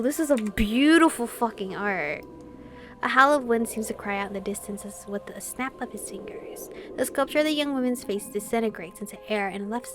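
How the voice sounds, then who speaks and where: A woman's voice: on the high side, fairly bright tone. A woman playing a visual novel, reading the narration aloud and reacting expressively as a streamer. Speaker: gaming streamer. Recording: game music behind the voice. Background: game music.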